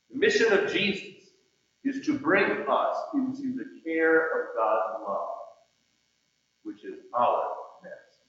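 A man delivering a sermon in measured, emphatic phrases with deliberate pauses, reading out scripture and quotations as he goes. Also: fairly high pitch, fairly bright tone. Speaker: preacher. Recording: muffled, very echoey hall with long reverb.

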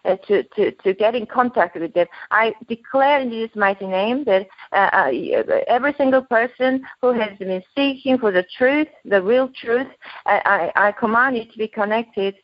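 Brief: Korean-born woman minister, halting accented speech, dull dry podcast sound. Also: on the high side, no room sound. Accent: Korean mixed with German and British. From South Korea. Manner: halting, many restarts and fillers. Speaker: guest minister. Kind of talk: spoken prayer and podcast chat.

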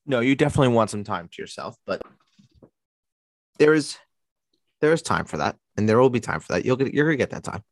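Congested, hoarse-sounding man on podcast. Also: medium pitch, expressive, quick pace, pauses often, dry recording. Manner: rambling, lots of fillers and restarts. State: congested with laryngitis. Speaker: podcast co-host. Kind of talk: casual podcast chat. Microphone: close to the mic.

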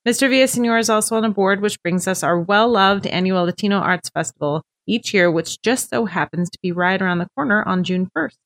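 A woman's voice: mid-range voice, fairly quick, hardly any pauses, a bit bright. A woman from the US West Coast hosting a podcast interview in a friendly, upbeat way. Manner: friendly, upbeat, scripted intro. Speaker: podcast host.

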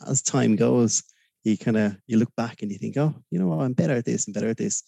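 A man's voice: medium pitch, fast talker, gravelly, dark tone, slightly rough, no room sound. A man with a Dublin Irish accent as a podcast guest, talking in a rambling, hesitant way with many fillers. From Ireland. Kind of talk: casual interview chat.

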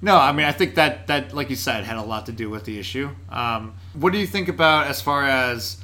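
A male podcast host with a bright, forward voice and a mid-range pitch. He asks his questions in a laid-back, joking way, padding them with plenty of fillers. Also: expressive, fairly quick. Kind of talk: casual interview conversation.

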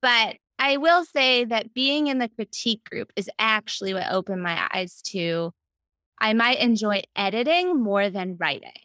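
A woman's voice: mid-range voice, sharp tone, no echo. A woman speaking as an interview guest, explaining her experience in a reflective, conversational way. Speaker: podcast guest, book editor.

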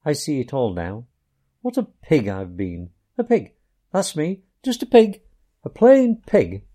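A man's voice: a little high, very animated, pitched above the performer's own voice. A man doing a kindly, hearty rat. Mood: self-reproaching. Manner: warm, coaxing, brisk.

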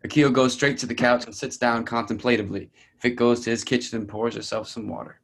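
A man's voice: mid-range voice, fairly even intonation, fast. A man reading out stage directions as scripted narration for a play reading. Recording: clear background noise.

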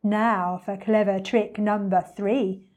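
A woman's voice: mid-range voice, fairly even intonation, takes it slowly, darkish tone, lightly acted. A woman voicing an enormous, hungry male crocodile in a storybook, scheming and relishing each word. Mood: gloating, scheming.

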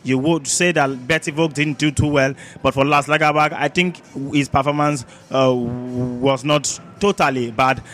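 A man's voice: medium pitch, steady tone, moderate pace, hardly any pauses, a bit bright, slight background noise. A man with a Nigerian accent debating sport as a podcast panelist, emphatic and argumentative, repeating words and throwing out rhetorical questions.

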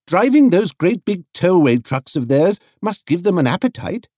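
A man's voice: high voice, sing-song, moderate pace, warm tone, no echo, clean studio sound, pitched above the performer's own voice. A man voicing a gossipy woman at the market who talks knowingly. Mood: wry.

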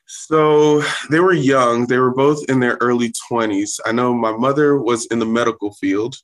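A man in his thirties from South Florida, whose first language was Haitian Creole, answering interview questions in a relaxed, reflective way with many pauses. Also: mid-range voice, poor audio. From South Florida, United States. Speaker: interview guest, Salvation Army worker. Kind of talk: casual interview conversation.

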